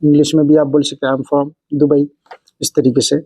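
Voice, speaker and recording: A man's voice: a little high, level tone, a little fast, mellow, dry recording. A man hosting an instructional language lesson, explaining phrase by phrase and repeating each example. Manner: repetitive, explanatory, phrase-by-phrase delivery. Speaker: language teacher and video host.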